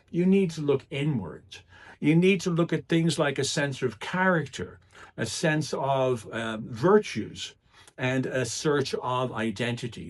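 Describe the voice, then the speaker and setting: A middle-aged man delivers a motivational talk in a husky voice. His intonation is very lively, rising and dropping across phrases. He sets out each point deliberately, with emphatic pauses in a storytelling style. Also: medium pitch. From Ireland. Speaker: public speaker. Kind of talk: motivational club speech with storytelling.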